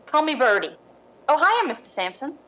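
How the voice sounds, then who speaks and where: A woman's voice: sits high, very animated, normal speed, sharp tone, heavily acted, poor audio. A woman as a sassy, blunt ex-vaudevillian maid. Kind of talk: recreated 1950s radio drama acting.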